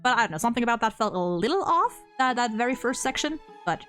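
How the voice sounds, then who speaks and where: A woman in a casual chat reviewing a game story, talking in an animated, rambling way with many stammered repeats. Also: on the high side, fast talker, soft background music. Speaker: streamer and video co-host.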